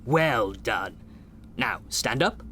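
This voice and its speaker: A man playing a brisk, formal, encouraging doctor who gives instructions in a sci-fi video game. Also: medium pitch, expressive, sharp tone, a little hiss or hum, exaggerated voice. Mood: brisk, instructive. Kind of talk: video game tutorial dialogue acting.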